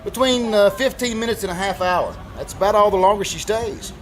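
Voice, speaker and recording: A man's voice: sits high, a little fast, a bit bright, slight background noise. A man acting a helpful, easygoing young taxi driver in a radio drama, folksy and thinking aloud. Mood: helpful, curious.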